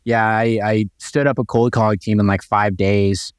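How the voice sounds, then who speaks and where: A man's voice: on the low side, level tone, normal speed, clear, resonant tone, no room sound, studio quality. A man co-hosting a real estate podcast, chatting casually and upbeat with lots of fillers and restarts. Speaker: podcast co-host.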